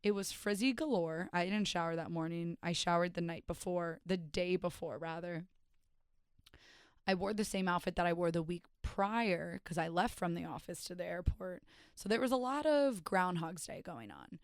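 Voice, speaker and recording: Hoarse young woman chatting on her podcast. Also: lowish voice, moderate pace, no room sound, clean studio sound. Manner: rambling, self-mocking, chatty with many "like"s. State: hoarse, raspy voice after days of partying. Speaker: podcast host and stand-up comedian. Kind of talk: casual solo podcast chat.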